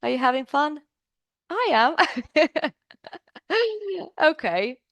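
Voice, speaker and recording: A woman's voice: sits high, lively intonation, extremely slow, fairly bright tone, no room sound. A woman from Serbia, teaching business English in a live online class, upbeat and chatty, asking the class questions.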